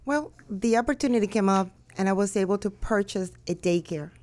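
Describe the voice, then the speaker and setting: A woman from Cuba, a school owner, answering interview questions in a measured way with some hesitations. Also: medium pitch, darkish tone. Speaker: school owner and director, guest.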